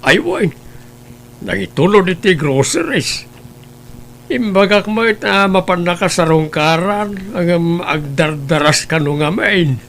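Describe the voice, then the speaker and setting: High, bright, admonishing Ilocano old father man acting. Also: expressive, unhurried, vocal fry, slight background noise, full character voice. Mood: complaining. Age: older. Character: stern, counselling elderly father of a grown daughter. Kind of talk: Ilocano audio drama acting.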